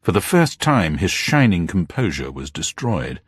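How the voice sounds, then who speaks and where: A man narrates a detective story with dramatic intent. His voice is low and husky with an animated range, and the recording is dry and close. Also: a little slow, no room sound. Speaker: audiobook narrator.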